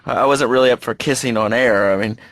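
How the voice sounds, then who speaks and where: An actor playing a sardonic, bemused American talk radio host, wry and a little hesitant, in a comic interview. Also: male voice, mid-range voice, a little fast, a bit bright, no room sound, clean studio sound, natural voice.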